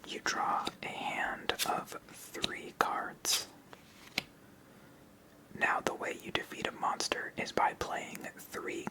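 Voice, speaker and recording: A host of unclear sex speaks entirely in a whisper, in the style of an ASMR tutorial. The pace is very slow and unhurried. Each step is explained softly and patiently, one after another. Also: rough recording. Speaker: host of a card game channel.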